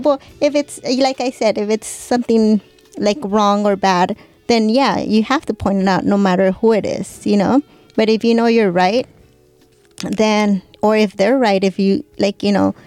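A woman's voice: mid-range voice, a little mellow, no room sound. Woman in her forties, hesitant easygoing co-host, mid-range voice, dry close mic. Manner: hesitant, full of "like" and "you know", laughs easily. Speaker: podcast co-host. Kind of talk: casual chat.

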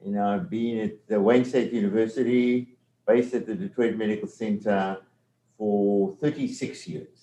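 Older South African man as an interview guest, measured and explanatory, low-set voice, echoey conference room. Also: fairly even intonation, dark tone. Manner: measured, explanatory, frequent restarts. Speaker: medical professor guest.

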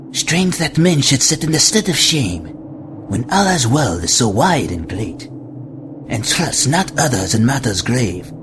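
Man acting a swooping, fervent remorseful executioner. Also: mid-range voice. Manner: fervent, declamatory. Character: remorseful executioner, a loyal slave urging flight. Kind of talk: audio drama verse recitation.

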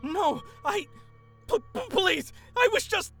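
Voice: extremely high pitch, sing-song, takes it slowly, creaky, fairly bright tone, soft background music, heavily acted. An actor playing a frantic, jumpy young soldier in a sci-fi war audio drama. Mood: terrified. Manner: frantic, stammering under fear.

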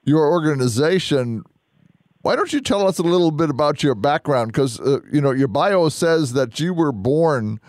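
A man's voice: on the high side, darkish tone, no echo. Man hosting religious radio, close dry sound. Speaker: radio show host, deacon.

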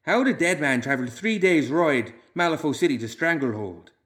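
Audiobook farm boy, played by a male reader: blunt, folksy, bitter, quick to flare. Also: on the high side, lively intonation, a bit bright, pitched above the performer's own voice. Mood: skeptical. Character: bitter, bony young man, hot-headed rustic.

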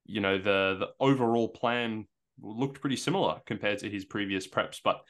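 A man's voice: fairly low pitch, normal speed, a bit bright. An Australian man on a podcast who jokes cheekily and then explains his view earnestly. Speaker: podcast co-host. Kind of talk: casual podcast chat.

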